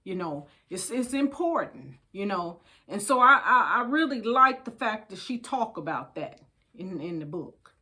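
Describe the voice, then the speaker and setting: A woman's voice: medium pitch, sing-song, measured pace, bright, forceful tone, husky. An older woman with an American accent, chatting casually and reading from a book in a folksy, emphatic way to her listeners. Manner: folksy, addresses listeners as babies, repeats phrases for emphasis. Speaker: storytime host. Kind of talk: casual chat with book read-aloud.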